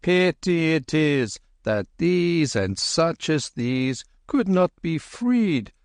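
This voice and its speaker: A man voicing an old, gentle holy man who speaks in dignified, archaic phrases. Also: fairly high pitch, big pitch swings, slow, clear, resonant tone, no room sound, studio quality, pitched above the performer's own voice. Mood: solemn. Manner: solemn, archaic, dignified speech.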